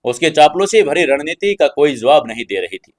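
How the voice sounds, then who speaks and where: A man's voice: a little high, sing-song, normal speed. A man narrating a scripted dramatic audio story.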